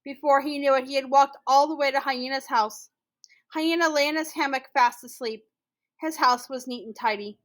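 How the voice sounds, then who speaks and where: Lady reading a kids book out loud, high voice, expressive, stretches repeated words for effect. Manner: emphatic, with drawn-out repetitions for effect. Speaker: school storyteller. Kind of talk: expressive children's picture-book read-aloud.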